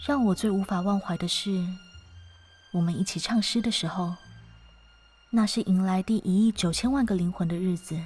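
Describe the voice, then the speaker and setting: 女声优饰演温柔忧伤的灵魂摆渡人，伴着配乐. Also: female voice, mid-range voice, normal speed, a little mellow, breathy edge, natural voice. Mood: wistful narration. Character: ageless female ferrywoman of souls, gentle, wistful, quietly teasing. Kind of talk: audio drama acting with reflective narration. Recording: studio voice over background music.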